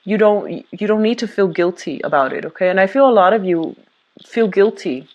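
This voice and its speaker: A woman's voice: mid-range voice, lively intonation, normal speed, a little mellow, highs cut off. A woman giving a tarot reading to viewers, talking in a reassuring way with many fillers and restarts. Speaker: tarot reader.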